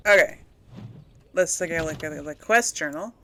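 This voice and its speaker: A woman's voice: sits low, normal speed, fairly bright tone. A woman hosting a casual let's play, musing aloud informally and hesitantly as she talks and role-plays through a game. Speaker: gaming video host.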